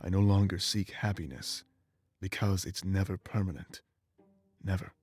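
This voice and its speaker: An ancient immortal warrior from a video game, weary and full of remorse. His low voice delivers a solemn, measured confession with a bitter edge. Faint music runs underneath. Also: fairly even intonation, a little mellow. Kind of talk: dramatic game voice acting, confessional monologue.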